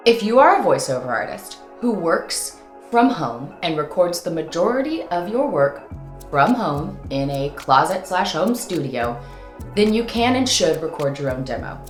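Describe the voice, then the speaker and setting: Woman host with very lively, swooping pitch. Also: moderate pace, reverberant, faint music behind. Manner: playful, theatrical, sing-song flourishes, informal slang. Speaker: host and voice-over coach. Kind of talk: upbeat instructional vlog to camera.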